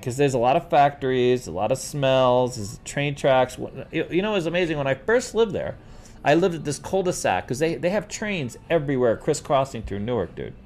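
A middle-aged man from New York City, chatting casually as a host and rambling through stories while calling everyone dude. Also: on the high side. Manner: rambling, animated, says "dude" often. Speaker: host answering viewer questions. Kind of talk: casual livestream chat.

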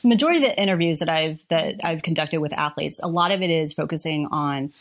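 A woman's voice: low voice, quick pace. A woman in her forties hosting a podcast, moving from a prepared introduction into eager interview questions. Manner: enthusiastic, warm questioning. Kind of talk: scripted intro then interview. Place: guest's home.

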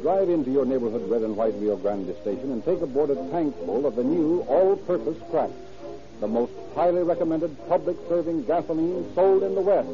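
A man announcing on 1930s radio in a polished, formal, scripted style that suits sponsor pitches and narration. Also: a little fast, over music. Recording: muffled old 1930s radio broadcast recording.

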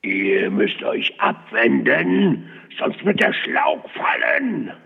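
Ein Schauspieler spielt in einem komischen Hörspiel einen unheilvollen Tempelritter, der knarzig, altertümlich und theatralisch den Untergang verkündet. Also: male voice, medium pitch, huge pitch swings, narrow, tinny audio, heavily acted. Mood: scolding, prophetic. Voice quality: creaky, gravelly. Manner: archaic, theatrical proclamations with dramatic pauses. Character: ominous old-fashioned Templar knight prophesying doom. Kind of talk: comic radio play acting. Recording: loud plucked banjo music behind.

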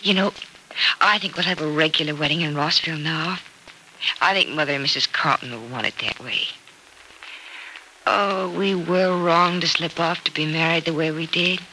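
A woman's voice: sits low, moderate pace, sharp tone. An actress playing a brave, hopeful young woman recovering in hospital, in 1940s radio soap opera style on a noisy old recording. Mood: wistful, planning.

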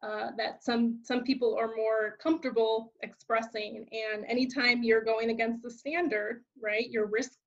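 Slightly high woman on a dull, lo-fi line. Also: steady tone, measured pace, few pauses. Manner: thoughtful, hesitant, lots of fillers and restarts. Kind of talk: conversational interview. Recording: dull lo-fi sound.

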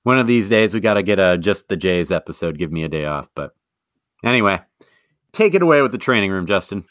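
A man co-hosting a sports podcast, talking in an easy chatty way and quick to laugh at himself. His voice sits a little low. The recording is dry and close, with no room sound. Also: very animated, fairly bright tone, dry recording. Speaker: podcast co-host. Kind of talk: casual sports podcast chat.

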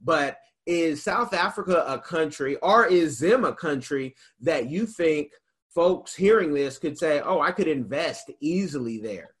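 A man's voice: on the high side, animated, measured pace, fairly bright tone, husky. A man with an American accent hosting a casual interview, asking long, rambling questions in a conversational way. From United States. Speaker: interview host.

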